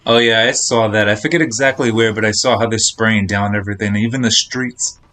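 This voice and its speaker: A man's voice: fairly low pitch, steady tone, a little fast, pushed and bright. A man chatting casually and informally as a game streamer, meandering from thought to thought. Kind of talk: casual rambling stream chat while playing. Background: game music.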